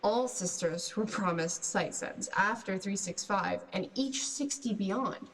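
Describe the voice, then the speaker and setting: A woman's voice: mid-range voice, unhurried, natural voice. A woman playing a resentful, ambitious agent sending formal, clipped reports home in a science fiction radio drama. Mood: cold, reproachful. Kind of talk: sci-fi radio drama monologue. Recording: distant, long reverberant hall.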